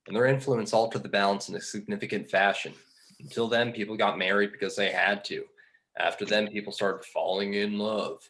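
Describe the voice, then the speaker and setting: A man playing a skeptical, bookish fallen angel in a film script table read, articulate and measured. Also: fairly low pitch, fairly bright tone.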